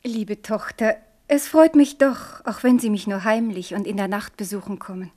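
A woman's voice: mid-range voice, normal speed, mellow, natural voice. An actress playing a stern, devout protestant queen mother in a 1970s radio play, speaking solemnly and rising to fervent appeals.

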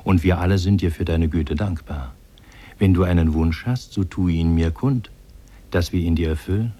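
A kindly solemn demon in human shape, low mellow voice, old german radio play. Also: male voice, normal speed. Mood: solemn, reassuring. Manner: solemn, formal, archaic diction. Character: benevolent male demon in human form, solemn and grateful. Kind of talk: 1950s German radio drama acting.

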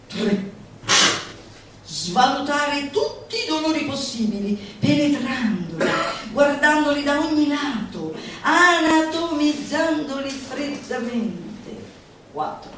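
A woman performer reading a manifesto as theatrical declamation, deliberate and declamatory, with dramatic pauses. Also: high-pitched, fairly bright tone. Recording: roomy, echoing, distant mic, faint noise.

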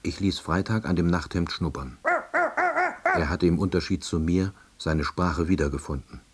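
An actor playing a lonely, melancholy laid-off East German husband, narrating his own story in a terse, deadpan diary manner. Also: low voice, unhurried, slightly rough, full character voice. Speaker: narrator and lead actor of a radio drama. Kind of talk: scripted first-person narration.

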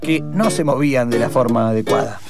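A man's voice: mid-range voice, brisk, over loud music. A man with a Rioplatense Argentine accent narrating an improvised radio play in a dramatic storytelling style.

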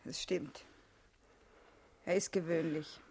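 An actress playing a playful, mocking young wife in a German radio play, coquettish, ironic and teasing. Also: female voice, sits low, fairly even intonation, takes it slowly, a little mellow, slightly rough, heavily acted. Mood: amused, teasing.

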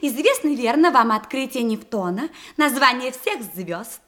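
A woman's voice: high voice, expressive, normal speed, pushed and bright. An actress playing a vivacious, teasing young bookish lady in a radio stage comedy, rattling off long lists. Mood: showing off gleefully. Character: vivacious learned young lady showing off her erudition.

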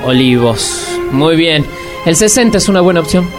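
An actor as a chummy Argentine waiter with a slightly high voice. He takes orders in a radio comedy, music clearly playing behind. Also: animated, normal speed, lightly acted. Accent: Argentine Rioplatense. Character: chummy male bar waiter taking orders. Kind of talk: comic radio drama acting.

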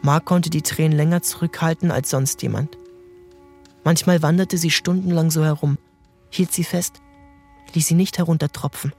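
Calm male audiobook narrator. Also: fairly high pitch, soft background music. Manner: calm, measured storytelling.

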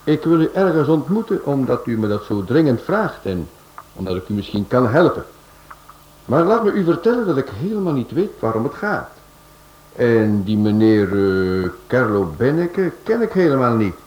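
An actor playing a witty, self-assured crime novelist in a radio drama, urbane and teasing. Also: male voice, medium pitch, sing-song, moderate pace, darkish tone, lightly acted, rough recording. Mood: calm. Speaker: detective novelist. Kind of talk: Dutch radio drama acting.

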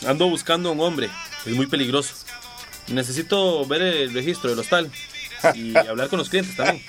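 A man's voice: on the high side, moderate pace, music in the background, natural voice. A man acting an officious, persistent local policeman in a radio soap opera. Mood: insistent.

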